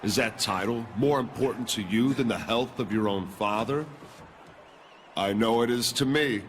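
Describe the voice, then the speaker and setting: A cocky male pro wrestler, voiced by an actor, taunts in a video game scene. His tone is coldly threatening, at an average pitch. Background noise sits clearly under the voice. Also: normal speed, close to an everyday voice. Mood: menacing.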